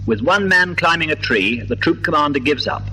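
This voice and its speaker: A British man delivering formal, precise, measured scripted narration for a 1950s documentary, on an old film soundtrack. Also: a little high, expressive, bright, forceful tone, a little hiss or hum, poor audio.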